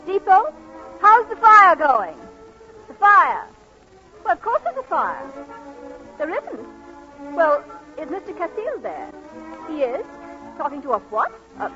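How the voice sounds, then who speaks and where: Bright, high-voiced shrewd bride. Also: female voice, full character voice. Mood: concerned, puzzled. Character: sweet, shrewd young bride, slyly teasing. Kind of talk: 1940s radio comedy acting. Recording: muffled vintage radio broadcast, music behind.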